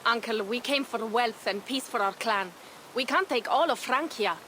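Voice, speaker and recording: A spirited young Viking woman in a game drama. Her voice is high and bright, with faint noise around it. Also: normal speed. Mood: worried. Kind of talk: dramatic video game cutscene acting.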